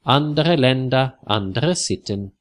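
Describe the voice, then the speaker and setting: A man reading a friendly, polite male tourist in a learner's storybook. He speaks slowly and clearly, in an even, mid-pitched voice. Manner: polite, cheerful.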